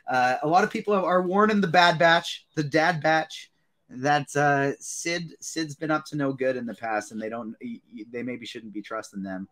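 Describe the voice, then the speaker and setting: A man's voice: fairly high pitch, normal speed, a bit bright. A man hosting a casual fan podcast in a conversational style. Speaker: podcast host.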